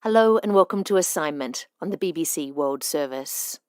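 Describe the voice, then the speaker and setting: Low-voiced woman presenting radio news. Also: clear, resonant tone, dry recording, studio quality. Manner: measured, even delivery. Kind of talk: scripted news narration. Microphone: studio microphone. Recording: music bed underneath.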